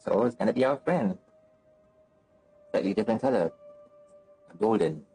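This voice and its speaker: A man's voice: on the low side, expressive, takes it slowly, warm tone, breathy edge, rough recording. A man doing casual gameplay commentary, musing and thinking aloud as he streams. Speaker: game streamer. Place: indoors at a gaming setup. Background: game dialogue and music.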